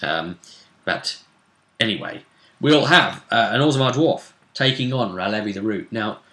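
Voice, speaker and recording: Man with a bright voice in a roomy space. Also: on the low side, expressive, moderate pace. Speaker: host. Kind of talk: commentary on a fantasy role-play tournament. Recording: roomy, echoing sound.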